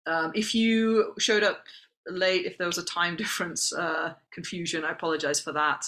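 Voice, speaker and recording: Bright-voiced woman hosting an online Q&A. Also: lowish voice, fairly even intonation, normal speed. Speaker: host of an online information session. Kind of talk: spoken Q&A answering chat questions. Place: online video call.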